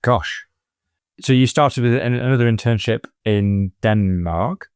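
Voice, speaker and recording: A man hosting a medical podcast, introducing his guest and asking brisk, friendly questions. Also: medium pitch, clear, resonant tone, dry recording, studio quality. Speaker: podcast host. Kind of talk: podcast interview.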